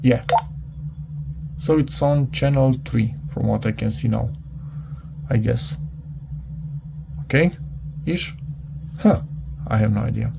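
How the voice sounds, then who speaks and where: A man thinking aloud in a casual, unscripted hands-on product review, halting and muttering to himself as he goes. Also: mid-range voice, vocal fry, noisy background.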